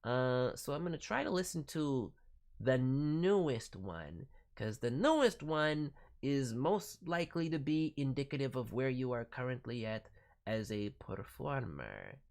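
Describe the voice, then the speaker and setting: A man's voice: mid-range voice, big pitch swings, unhurried, creaky, darkish tone. A man hosting a casual livestream as a voice director, giving feedback in a playful way with cutesy turns of phrase. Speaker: voice director, streamer host. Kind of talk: livestream critique of demo reels, casual.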